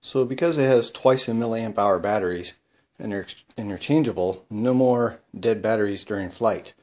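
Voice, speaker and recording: A man's voice: fairly low pitch, normal speed, a little hiss or hum. A man casually explaining his home-built gear in a plain, matter-of-fact way, recorded indoors at home. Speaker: paraglider showing his gear. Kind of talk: casual product demo.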